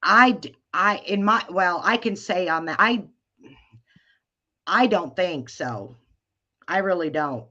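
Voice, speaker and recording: A woman with a deep, low voice hosts a casual live chat, taking her time and stopping to restart her thoughts. Her speech is slow, and the stream audio sounds dull and lo-fi. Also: lively intonation, bright, forceful tone. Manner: hesitant, halting with many restarts. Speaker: livestream host.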